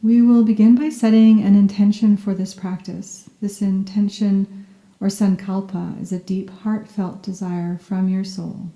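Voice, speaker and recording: A soft-spoken woman guiding a yoga nidra meditation in a gentle, soothing and unhurried manner. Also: mid-range voice, echoey room, lo-fi sound. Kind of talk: guided meditation, scripted narration.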